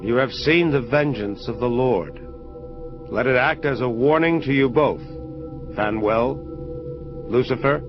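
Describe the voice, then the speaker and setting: A man's voice: medium pitch, a little slow, pauses often, natural voice. Stern, implacable angel of vengeance played by a man. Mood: commanding. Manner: stern, formal, resolute. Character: stern implacable male angel executioner, sure of his duty. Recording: dull lo-fi audio under loud music.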